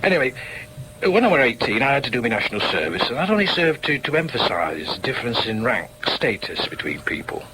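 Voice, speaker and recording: An actor playing an earnest, wary middle-aged communist trade unionist father with a Northern English accent, halting and plain-spoken, in a naturalistic radio drama. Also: medium pitch, big pitch swings, background noise. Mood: earnest, confiding. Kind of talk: naturalistic radio drama acting, dialogue and inner thoughts.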